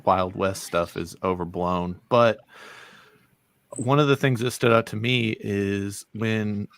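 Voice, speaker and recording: A man's voice: fairly low pitch, takes it slowly, no room sound. A man chatting casually in a book discussion.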